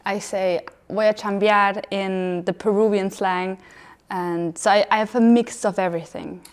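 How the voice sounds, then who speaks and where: A young woman from Austria, a talk show guest who tells personal stories in an animated way. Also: mid-range voice, unhurried, clear, resonant tone. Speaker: panel guest. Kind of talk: studio panel talk.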